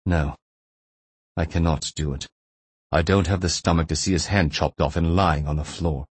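A menacing young Russian interrogator acted out by a male narrator in a thriller audiobook. The voice is low and husky, full of scorn and frustration, in a very dry recording. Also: fairly even intonation, dry recording. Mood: weary disbelief. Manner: scornful, rhetorical, drawn-out taunting.

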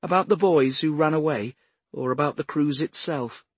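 A man's voice: a little high, normal speed, slightly rough, no echo, voice pushed up. A man narrating an audiobook as a prim, self-righteous older woman with a cultured English accent. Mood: wary, probing. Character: cultured, haughty retired care-home matron, a grandmother.

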